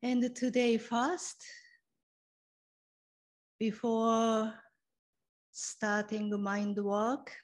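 A woman leading a guided meditation as a teacher, instructing gently in short, halting phrases with long pauses. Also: fairly high pitch, monotone.

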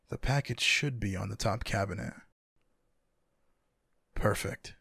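Man acting a husky, low-voiced tender boyfriend. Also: dry recording, clean studio sound, heavily acted. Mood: relaxed. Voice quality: husky, gravelly. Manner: soft, affectionate, unhurried. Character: tender, teasing, sleepy male lover. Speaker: ASMR roleplay voice actor. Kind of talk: intimate boyfriend ASMR roleplay. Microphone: close microphone, dry.

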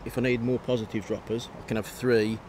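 A man, giving a relaxed, detailed walk-through of his fishing rig outdoors by a river. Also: mid-range voice, fairly quick. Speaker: match angler guest. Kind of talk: casual explanation of fishing tackle. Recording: outdoor recording, faint noise.

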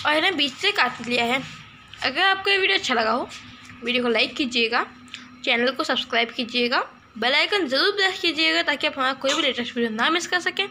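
A woman hosting a cooking channel, explaining a recipe step by step in a steady voice-over. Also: sits high, slight background noise.

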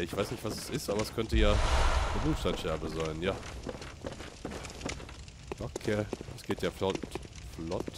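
A man with a fairly low voice talks his way through a video game, loose and chatty, turning to his viewers now and then. He has a slight cold and sniffles a little. A loud hiss of noise sits under the recording. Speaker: let's play gamer. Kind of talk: casual gameplay commentary.

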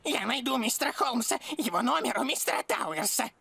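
A woman's voice: a little high, animated, a little fast, creaky, bright, forceful tone, a little hiss or hum, exaggerated voice. A woman playing a bossy, blustering villain gang leader in a cartoon dub, with theatrical delivery. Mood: self-important. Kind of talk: animated cartoon dub acting.

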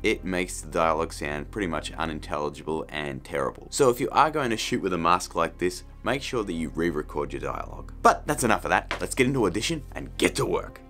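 A man's voice: deep voice, lively intonation, a little fast, hardly any pauses, fairly bright tone, soft background music. A middle-aged man presenting a casual scripted tutorial, chatty and jokey. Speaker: video host.

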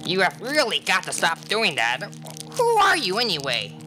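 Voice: medium pitch, huge pitch swings, normal speed, over music. An actor playing a prim, pedantic young male science geek in a live radio play. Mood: alarmed, questioning. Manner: pedantic, formal wording. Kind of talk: live student radio theatre.

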